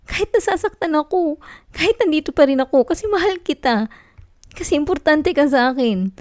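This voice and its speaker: A woman with a Filipino accent acting a possessive, clingy young student girlfriend in an intimate ASMR roleplay, speaking softly and pleadingly. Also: sits high, lively intonation, brisk, dry recording, clean studio sound. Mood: hurt, insisting.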